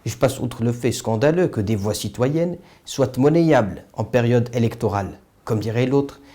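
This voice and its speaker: Mellow-voiced man, formal commentary. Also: medium pitch. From Morocco. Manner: formal, literary, rhetorical questioning. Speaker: political commentator, video host. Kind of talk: scripted opinion monologue.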